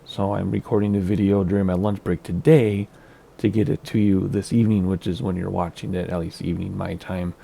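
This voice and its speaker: A man with a raspy voice from smoke, chatting casually and thinking aloud as he clicks through game menus on his home computer. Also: low-pitched, level tone, fairly quick, dark tone. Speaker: flight sim video host. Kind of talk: casual gameplay commentary.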